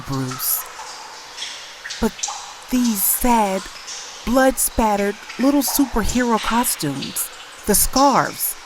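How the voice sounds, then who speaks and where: Worried, pleading female lover acted by a woman, unhurried and earnest, comic-book drama, noisy backdrop. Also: mid-range voice.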